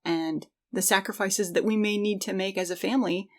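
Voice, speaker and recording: A woman's voice: medium pitch, fairly even intonation, a little fast, a little mellow. A woman co-hosting a podcast, talking casually and reflectively in an earnest, encouraging way. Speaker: podcast co-host.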